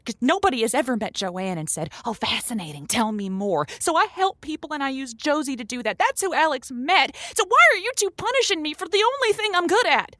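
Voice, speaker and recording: A woman's voice: high voice, animated, a little fast, few pauses, a bit bright, no room sound. An actress playing a guarded, defensive woman who hosts a show, in a naturalistic audio drama style. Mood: defensive, upset. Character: guarded, defensive woman radio host hiding behind a persona.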